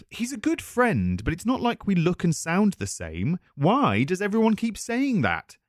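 A man's voice: high-pitched, wildly swooping pitch, a little slow, no room sound, studio quality, heavily acted. A man playing a chatty, nervous voice actor, talking casually to a visitor in a self-conscious, hesitant, easily flustered way. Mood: flustered, defensive. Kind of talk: casual conversational audio roleplay addressed to listener.

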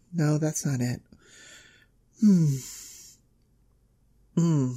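A woman's voice: deep voice, warm tone, husky, heavily acted. A young woman playing a vain, catty, ditzy blonde popular girl in an audio roleplay, with a drawling valley-girl manner full of filler words. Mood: hushed, halting. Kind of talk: first-person audio roleplay monologue.

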